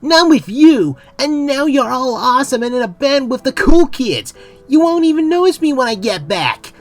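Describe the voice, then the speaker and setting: A woman's voice: sits high, big pitch swings, normal speed. A woman playing an anxious but earnest teenage boy space captain in a comic dub. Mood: upset.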